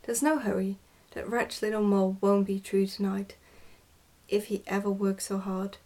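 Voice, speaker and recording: A woman's voice: medium pitch, level tone, moderate pace, mellow, lightly acted. A woman reading the part of a bossy, smug goblin father in a storybook, in a pompous explaining manner. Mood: gruff, dismissive.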